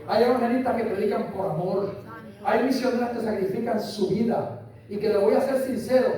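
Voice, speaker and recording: A man's voice: sits high, mellow. An older man with a Puerto Rican accent preaching a sermon as pastor, emphatic and repeating his phrases. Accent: Puerto Rican Spanish. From Puerto Rico. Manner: emphatic, repeats phrases, asks listeners "¿entiendes?". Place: church hall. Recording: echoey hall, voice from far off, faint room noise.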